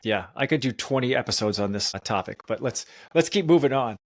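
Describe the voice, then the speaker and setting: A man's voice: medium pitch, lively intonation, a little fast, no echo, clean studio sound. A man hosting a podcast, chatting casually in an emphatic, enthusiastic way. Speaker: podcast host.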